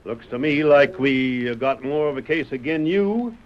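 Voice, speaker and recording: An actor playing a shrewd, gruff frontier sheriff in an old radio western, blunt and folksy with a rural Western American accent. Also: male voice, medium pitch, lively intonation, moderate pace, natural voice. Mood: accusing. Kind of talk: 1940s radio western acting. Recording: muffled, noisy vintage radio recording.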